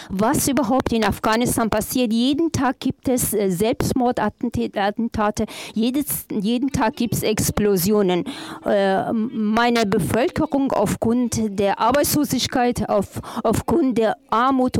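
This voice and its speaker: A woman interpreting at a panel talk in the first person, haltingly, often repeating and rephrasing her phrases. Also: a little high, darkish tone.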